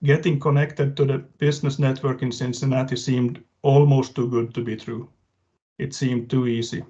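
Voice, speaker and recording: A man's voice: medium pitch, level tone, moderate pace, dark tone. A man giving a prepared case-study talk on a webinar, reading steadily with small restarts. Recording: dull lo-fi video-call audio.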